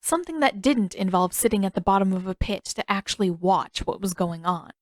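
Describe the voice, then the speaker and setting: A woman reading scripted fiction as an expressive audiobook narrator. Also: mid-range voice, a little fast. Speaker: narrator and author.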